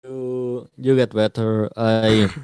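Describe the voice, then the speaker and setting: A young man from Mexico with a Mexican Spanish accent, chatting casually and pausing to search for words. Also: mid-range voice, level tone, a little mellow, clean tone, no echo. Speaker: podcast co-host. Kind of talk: casual chat.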